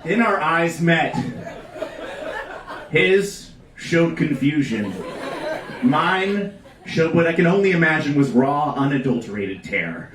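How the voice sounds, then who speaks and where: A man reading a comic story aloud at a live event, with theatrical emphasis and dramatic pauses. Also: a little high, animated. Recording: echoey live room, distant mic, background noise.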